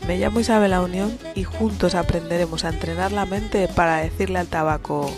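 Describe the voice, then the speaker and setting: A woman's voice: lowish voice, fairly even intonation, a little fast. A woman host reading a scripted, upbeat podcast intro. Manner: upbeat, welcoming. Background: music.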